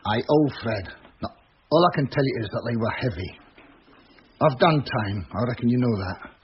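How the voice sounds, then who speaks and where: An actor playing a gruff, guarded ex-convict pub landlord in a radio drama, curt and defiant. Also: male voice, medium pitch, expressive, normal speed, close to an everyday voice. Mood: wary, frank. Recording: dull lo-fi radio audio, clear noise.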